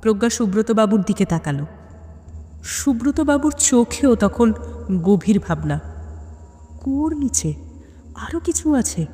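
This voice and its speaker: A woman delivers dramatic suspense narration for an audio story. She phrases it in measured pieces with tense pauses. Her voice carries a long, hall-like reverb. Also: on the high side, very animated, mellow.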